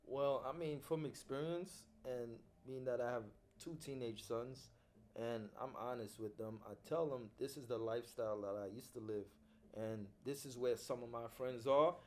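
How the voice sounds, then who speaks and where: A man's voice: medium pitch, darkish tone. A middle-aged man, a film producer talking casually and reflectively as an interview guest, using street slang. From Haiti.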